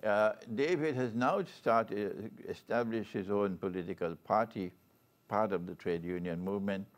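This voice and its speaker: An older man with a Trinidadian accent hosts an interview show, speaking in a formal, measured and deliberate manner. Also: lowish voice. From Trinidad and Tobago. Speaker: talk show host. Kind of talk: formal guest introduction and interview.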